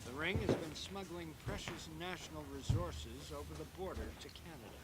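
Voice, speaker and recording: A man's voice: fairly high pitch, lively intonation, moderate pace, lightly acted. A man playing an official state newsreader in an audio drama, reading in a formal, authoritative manner. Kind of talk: news bulletin in an audio drama. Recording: very noisy broadcast sound.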